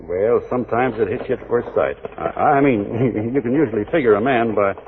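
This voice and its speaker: An actor playing a courtly, stubborn Texas Ranger with a folksy, hesitant Texan way of talking, in 1940s radio drama style. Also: male voice, on the low side, normal speed, close to an everyday voice. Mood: courting. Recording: noisy, tinny 1940s radio recording.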